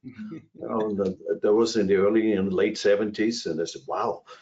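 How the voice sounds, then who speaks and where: Low-voiced Austrian man, rambling on a call. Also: steady tone, moderate pace, darkish tone, muffled recording. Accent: Austrian German. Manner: rambling, anecdotal, many fillers and restarts. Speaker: cruise industry executive. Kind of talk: webinar panel talk. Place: his office. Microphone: video call.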